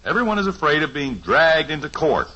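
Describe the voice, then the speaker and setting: Middle-aged sitcom father, earnest, lecturing, lively pitch, dull old radio sound. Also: a little fast, exaggerated voice. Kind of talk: 1950s radio acting.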